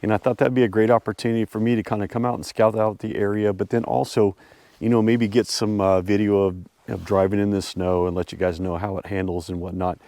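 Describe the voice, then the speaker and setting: A man hosting a vlog outdoors, a bit congested and hoarse from a cold, rambling casually with lots of fillers. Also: fairly low pitch, flat delivery, fast, a little mellow, no echo. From US Pacific Northwest. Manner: rambling, many fillers and restarts. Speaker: vlog host reviewing his truck. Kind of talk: casual talk to camera. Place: outdoors at a snowy off-road area.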